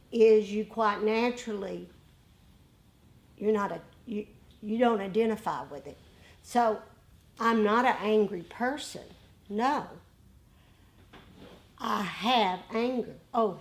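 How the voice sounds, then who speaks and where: Very slow-paced woman teaching meditation. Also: medium pitch, vocal fry. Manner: deliberate, explains in short repeated phrases. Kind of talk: informal dharma talk and discussion.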